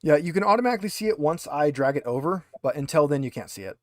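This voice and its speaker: A male game master narrates a tabletop session in a slightly high voice. He shifts between scripted description and casual, halting asides, recorded very dry and close. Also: lively intonation, darkish tone, no room sound.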